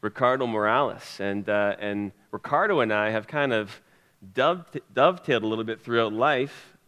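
Guy hosting at church, smooth resonant voice, rambly jokey intro. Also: on the low side, normal speed, fairly bright tone. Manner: joking, rambling with many fillers. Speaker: pastor introducing the guest. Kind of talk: humorous introduction.

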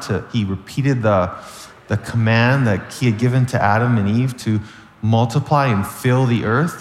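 A man delivers a sermon in a raspy, hoarse voice worn down by a cold. He talks earnestly, backing up to restart phrases and checking in with the listeners. His voice rings through a large hall with a long echo, over a faint hum in the room. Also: mid-range voice. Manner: earnest, restarts phrases, asks "right?" often. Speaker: preacher. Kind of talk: sermon-style Bible teaching.

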